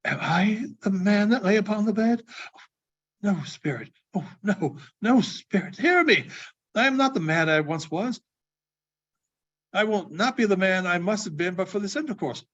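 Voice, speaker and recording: A fervent old man, a reformed miser out of a storybook, played aloud by a male reader. His high voice leaps about as he exclaims, stammers and repeats himself. The recording is dull and slightly muffled. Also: expressive, moderate pace, a bit bright. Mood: pleading, desperate. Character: excitable elderly man, repentant miser, fervent and overjoyed.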